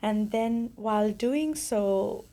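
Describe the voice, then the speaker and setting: A woman from India, a playwright guest, earnestly explaining her play in a measured way. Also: medium pitch, dark tone. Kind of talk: interview answers. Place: studio.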